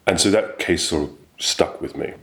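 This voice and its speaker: A man, a barrister, recalls his past work in an interview, measured, reflective and matter-of-fact. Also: low voice, vocal fry, a bit bright. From England. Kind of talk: interview recollection.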